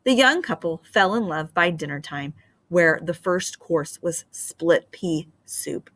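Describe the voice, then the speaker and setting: Teacher, middle-aged woman; animated storybook reader, bright tone, dry close mic. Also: lowish voice, slow, no echo. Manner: expressive and animated. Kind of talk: storybook read-aloud and lesson. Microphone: webcam on a screen share.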